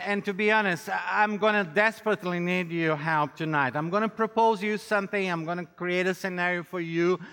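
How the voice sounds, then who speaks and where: A middle-aged male pastor with a Brazilian accent, native in Portuguese, gives a conference sermon. His voice is bright and fairly high for a man, and he speaks straight to his listeners with self-deprecating humour. The sound carries the long reverb of a hall. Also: clean tone. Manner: joking, self-deprecating, addresses listeners directly. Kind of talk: conference sermon with audience interaction.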